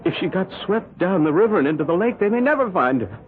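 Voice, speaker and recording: Edgy defensive husband, clipped and irritable, pitch swinging widely, tinny radio sound. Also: male voice, sing-song, quick pace. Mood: shaken. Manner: clipped and irritable, voice leaping when rattled. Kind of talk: 1970s radio drama acting. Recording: tinny old radio broadcast.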